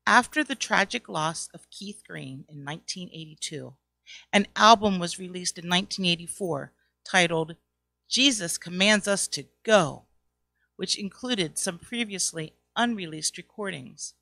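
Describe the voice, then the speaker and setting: Creaky-voiced middle-aged woman reading. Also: medium pitch, pushed and bright, no echo. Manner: reading aloud. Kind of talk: scripted narration. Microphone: close microphone on a boom stand.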